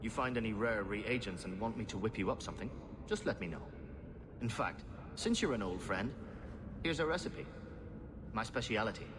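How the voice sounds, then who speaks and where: A man acting a streetwise poison maker in a fantasy game. He talks casually and in clipped phrases, his voice on the low side, wary yet friendly, with clear ambient noise behind him. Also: lively intonation, moderate pace, pauses often, close to an everyday voice. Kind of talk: video game drama acting.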